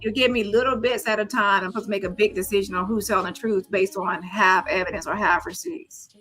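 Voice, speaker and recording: Bright-voiced, emphatic woman hosting a call-in. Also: medium pitch, brisk, husky, soft background music. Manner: animated, emphatic, repeats phrases for effect; blunt, salty slang and swearing. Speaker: host of a live call-in show. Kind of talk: casual gossip call-in chat.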